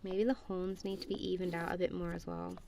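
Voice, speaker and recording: A woman's voice: fairly low pitch, level tone, fairly quick, creaky, dark tone, slight background noise. A woman doing a friendly, goofy ASMR roleplay as casual one-sided chat, playful and giggly, with a self-deprecating, apologetic manner.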